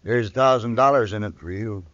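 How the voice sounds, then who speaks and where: An actor playing a smooth-talking, scheming American villain in a radio western, insinuating and controlled in manner. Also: male voice, fairly low pitch, fairly quick, fairly bright tone, dry recording, close to an everyday voice. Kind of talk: 1950s radio western acting. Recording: dull vintage radio recording.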